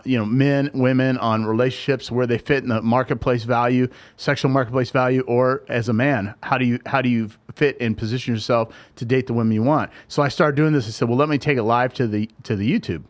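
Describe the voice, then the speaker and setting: A man's voice: medium pitch, fairly even intonation, a little fast, hardly any pauses. Middle-aged man telling stories. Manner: storytelling, frequent "right?" asides. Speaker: podcast guest, tech recruiter and dating coach. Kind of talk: casual interview chat.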